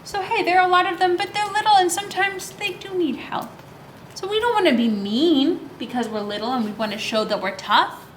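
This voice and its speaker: A woman speaking as a children's librarian, reading aloud and explaining things to young children in an animated way, often asking questions and answering them herself. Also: very high voice, fairly quick, a little hiss or hum. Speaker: children's librarian hosting story time. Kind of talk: read-aloud and craft instruction for young children.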